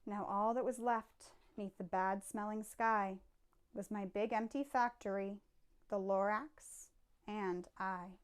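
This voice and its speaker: A woman reading a children's picture book aloud in an expressive storytelling style. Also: mid-range voice, slow, clear, resonant tone.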